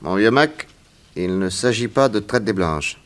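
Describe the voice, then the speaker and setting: An actor playing a shrewd, genial, self-assured private detective in a French radio drama, conversational and analytical. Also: male voice, fairly low pitch, lively intonation, measured pace. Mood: pondering, assured.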